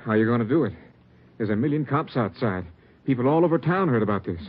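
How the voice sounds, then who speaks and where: An actor playing an uneasy, doubtful American prisoner with a husky voice, in a 1940s radio crime drama. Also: male voice, mid-range voice, animated, fast talker. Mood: alarmed, doubtful. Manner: doubtful, questioning. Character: uneasy, doubtful male prisoner, bomber's brother. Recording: tinny, band-limited 1940s radio recording.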